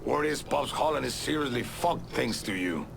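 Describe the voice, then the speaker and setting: Gravelly bitter Cuban exile. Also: male voice, medium pitch, animated, moderate pace, background noise. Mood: bitter, wary. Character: bitter male Cuban exile, hard-bitten anti-communist informant. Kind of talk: video game cutscene acting.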